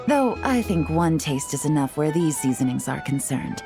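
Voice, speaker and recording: A woman's voice: low voice, big pitch swings, normal speed, music in the background. A woman playing a shrewd government official in a video game, wry, blunt and guarded. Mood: wry, wary. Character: shrewd, dry-witted female government official.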